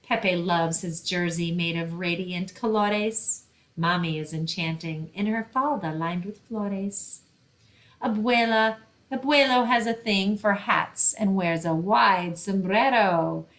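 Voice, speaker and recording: A woman's voice: lowish voice, takes it slowly, a bit bright. A woman reading a picture book aloud and explaining things simply for children, as a librarian hosting story time.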